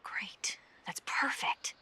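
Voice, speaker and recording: A woman's voice: slow, sharp tone, whispered. An actress playing a confident, teasing young female spy in a video game, with noise audible on the recording. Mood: teasing, decisive.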